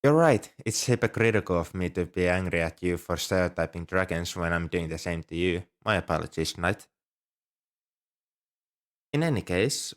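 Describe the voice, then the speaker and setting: A man playing an ancient, polite, nerdy dragon who collects coins, speaking earnestly and a little primly to the listener in an ASMR roleplay. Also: on the low side. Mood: apologetic. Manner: polite, earnest, slightly prim and scholarly. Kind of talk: ASMR roleplay, one-sided dialogue with the listener.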